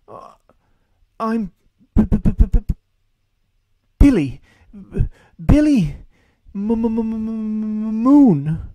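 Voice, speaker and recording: A man voicing a nervous, haunted man who speaks haltingly with a heavy stammer in a storybook reading. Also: high voice, animated, dry recording, lo-fi sound, pitched above the performer's own voice, heavily acted. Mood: anxious.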